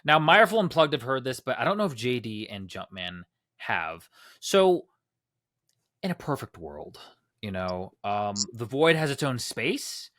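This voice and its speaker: A man's voice: medium pitch, sing-song, unhurried, fairly bright tone, no room sound, studio quality. A man hosting a casual group podcast, rambling with many fillers and restarts. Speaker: podcast host. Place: Los Angeles.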